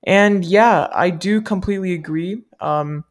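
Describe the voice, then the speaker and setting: A woman from the US, a native Malayalam speaker, asking interview questions in a polite, measured, rehearsed way. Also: on the low side. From United States. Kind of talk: scripted-sounding interview questions.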